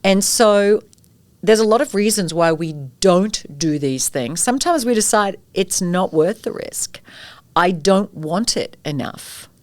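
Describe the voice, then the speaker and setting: A woman's voice: medium pitch, unhurried, no echo. A middle-aged Australian woman, a guest expert, telling stories and asking rhetorical questions in a conversational interview. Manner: animated storyteller, rhetorical questions.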